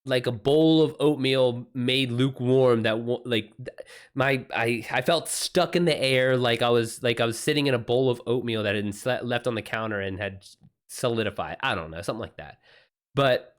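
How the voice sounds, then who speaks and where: Male livestream host and writer, medium pitch, informal unscripted chat, thinks out loud, bro-ish slang. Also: normal speed. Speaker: livestream host and author giving writing advice.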